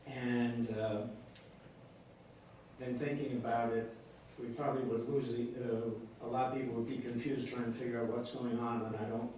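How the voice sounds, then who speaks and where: A distant, strongly reverberant recording of a man with a faint noise behind him. He talks as a writer explaining his work, rambling and halting, often restarting as he searches for words. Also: medium pitch, little pitch movement, a little mellow. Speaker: playwright discussing his play. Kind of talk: rambling post-show discussion. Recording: echoey room, distant mic, faint noise.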